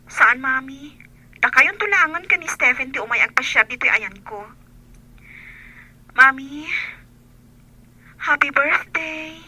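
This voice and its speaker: Woman acting as high, piercing young Ilocano daughter. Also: slow pace, pauses often, bright, forceful tone, exaggerated voice, poor audio. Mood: earnest, affectionate. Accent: Filipino, Ilocano. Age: young adult. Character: sad, affectionate young adult daughter living away from home. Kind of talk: Ilocano radio drama acting.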